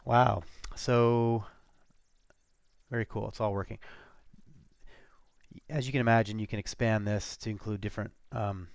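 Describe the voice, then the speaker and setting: Low-voiced man with a creaky edge. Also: little pitch movement, dry recording, studio quality. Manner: casual, halting, thinking aloud. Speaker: software demo presenter. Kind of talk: informal screencast product walkthrough.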